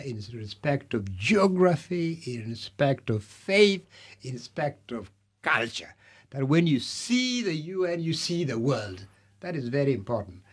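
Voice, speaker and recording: A middle-aged man from Uganda, answering interview questions in a measured, deliberate way, stressing lists of points with pauses. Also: medium pitch, darkish tone. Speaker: candidate being interviewed.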